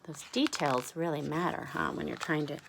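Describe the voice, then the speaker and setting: A woman's voice: low voice, normal speed, creaky, background noise. A woman reading a picture book aloud to young listeners, adding chatty asides, questions and exclamations. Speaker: read-aloud storyteller.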